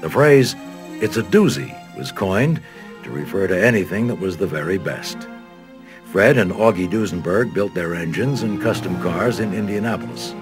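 A man's voice: sits low, animated, moderate pace. An American man reading scripted documentary narration as a studio voice-over. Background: background music.

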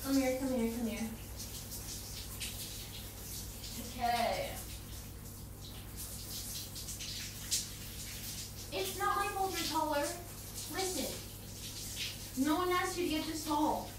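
Woman acting a playful young girlfriend, ASMR roleplay, girlish high voice, affectionate teasing, very echoey and away from the mic. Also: animated. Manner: giggly, coaxing, unhurried murmuring with pauses. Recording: echoey, distant, noisy with running bathwater.

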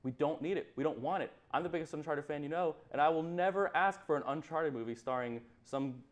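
A man's voice: a little high, fairly quick. A man in his twenties giving an opinionated, casual rant as a talk show panellist, blunt, emphatic and repeating himself for effect. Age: 20s. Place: small indoor TV studio.

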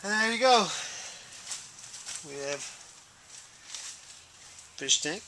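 A man's voice: high-pitched, animated, extremely slow, sharp tone. Man talking through a job, noisy audio. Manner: plain-spoken, step-by-step, thinking aloud. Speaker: DIY instructor. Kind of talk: casual hands-on tutorial talk while working. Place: inside a plastic-covered wood-framed dome greenhouse. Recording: noisy ambient camera audio.

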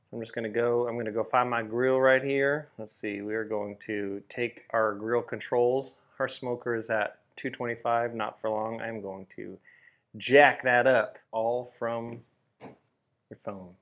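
A man in his thirties hosting a home grilling vlog, upbeat and hyped, who breaks into loud whoops. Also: mid-range voice, fairly even intonation, unhurried. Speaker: grilling channel host. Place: home kitchen and backyard.

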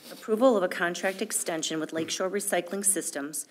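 A woman's voice: fairly low pitch, fairly quick. A middle-aged woman reading official documents aloud as a clerk, formal and matter-of-fact. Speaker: village clerk. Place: indoor board meeting room, at a desk.

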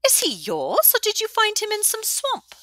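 A woman voicing a toothless, boisterous middle-aged innkeeper who talks loudly and teasingly. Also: extremely high pitch, huge pitch swings, moderate pace, no echo, clean studio sound, voice pushed up, full character voice. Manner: loud, teasing, laughing.

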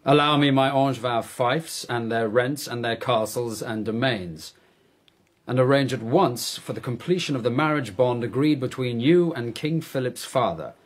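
A proud, defiant young prince played by a man with a medium-pitched voice. He speaks formally and coolly, with ambitious resolve, as in a historical radio play. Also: moderate pace, close to an everyday voice. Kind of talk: historical radio drama acting.

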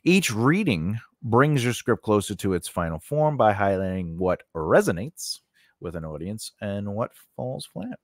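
A man's voice: fairly low pitch, very animated, measured pace, dry recording. A man in his forties giving an informal, emphatic tutorial into a close studio microphone, repeating key points and checking in with short questions. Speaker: host of a screenwriting tutorial channel. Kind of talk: informal instructional talk to camera, partly read from notes. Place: indoor home studio. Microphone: close studio condenser microphone on a mount.

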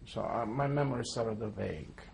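A man's voice: mid-range voice, fairly quick, creaky, darkish tone, a little hiss or hum. A man in his seventies, born in Poland, giving a careful oral history testimony and spelling names letter by letter. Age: 70s. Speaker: interviewee.

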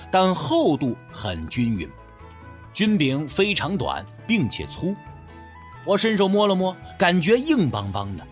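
A man narrating a first-person story as an audiobook narrator, in a dramatic storytelling style. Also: sits high.

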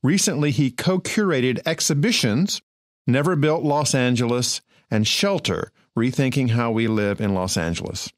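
A man's voice: mid-range voice, normal speed, no echo, clean studio sound. A man hosting an architecture radio show, chatty and enthusiastic as he asks questions. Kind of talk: casual interview.

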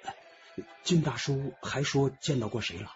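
A man's voice: medium pitch, normal speed, dull audio, soft background music, natural voice. An actor playing an evasive, defensive man with something to hide in a radio drama, probing as he talks. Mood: anxious probing. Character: evasive, defensive man hiding a gambling alibi.

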